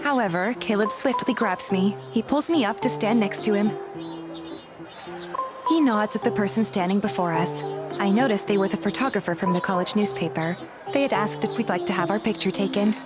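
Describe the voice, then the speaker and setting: A woman's voice: medium pitch, fairly quick, natural voice. A woman playing a playful, bashful young heroine, reading scripted first-person romance narration and dialogue. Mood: embarrassed, flustered. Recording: loud background music.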